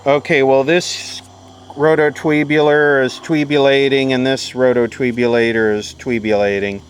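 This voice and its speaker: A man thinking aloud in a dry, grumbling, low-key way as he does hands-on repair commentary. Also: level tone, moderate pace. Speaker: host, electronics repairer. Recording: clear background noise and hiss.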